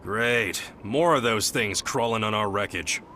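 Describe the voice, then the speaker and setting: An actor with an American accent playing a cocky, slangy, grumbling soldier in a video game cutscene. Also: male voice, lowish voice, a little slow, bright, forceful tone, a little hiss or hum. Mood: annoyed, combative. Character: cocky, gruff young male soldier, slangy thrill-seeker.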